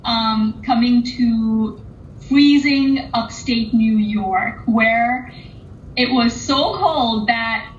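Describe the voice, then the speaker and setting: Higher-pitched woman in a roomy, muffled recording. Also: drawn-out words. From England and Oman, later the United States. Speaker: interviewed artist. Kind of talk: casual interview conversation. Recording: roomy, dull, lo-fi audio with faint noise.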